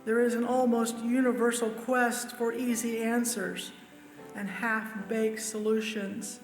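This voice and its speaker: A man with a strikingly high-pitched voice reads quotations aloud. It is a reverberant hall recording with faint music behind. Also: little pitch movement, takes it slowly. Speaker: church member reading. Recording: echoey hall, music underneath.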